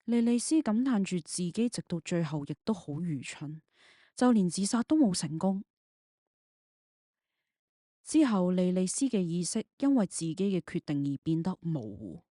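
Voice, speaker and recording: Lower, mellow woman narrating drama. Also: very animated, normal speed, no echo, studio quality. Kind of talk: dramatic scripted story narration.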